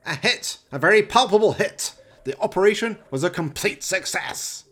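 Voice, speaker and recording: A man playing an evil, excitable mad scientist in a radio play, pompous, theatrical and fawning toward his master. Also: high voice, normal speed, noisy background, pitched above the performer's own voice. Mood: gleeful, excited. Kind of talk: radio play villain acting.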